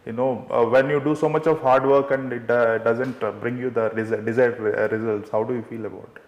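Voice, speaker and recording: A man's voice: mid-range voice, steady tone, highs cut off. Male interviewer, mid-range voice, hesitant delivery, echoey room. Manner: halting, many "uh" fillers and restarts. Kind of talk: film interview questions. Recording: roomy echo.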